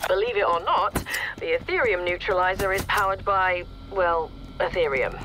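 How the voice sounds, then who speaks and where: A dry-witted game scientist. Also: male voice, high voice, expressive, normal speed, background noise. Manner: dry, wry, explanatory. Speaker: scientist ally giving mission instructions. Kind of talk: scripted video game dialogue. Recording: game audio over music.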